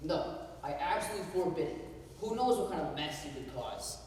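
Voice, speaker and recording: A man's voice: a little high, lively intonation, brisk, darkish tone, close to an everyday voice. An actor playing a stern, controlling man of the house, commanding and dismissive. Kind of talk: stage play acting. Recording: echoey hall from far off with noise.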